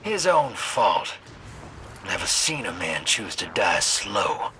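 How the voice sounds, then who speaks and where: An actor playing a sly, cynical streetwise rogue in a video game, talking in folksy, clipped, sardonic slang. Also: male voice, sits low, sing-song, slow, sharp tone, slight background noise, full character voice. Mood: cynical, low. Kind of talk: video game cutscene acting.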